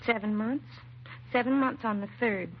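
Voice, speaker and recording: An American actress playing a timid, self-doubting young secretary in a 1950s radio drama, hesitant and apologetic. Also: female voice, fairly high pitch, normal speed, lightly acted. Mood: timid, uneasy. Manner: hesitant, nervous, apologetic, trailing and restarting. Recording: muffled vintage radio recording, faint hiss.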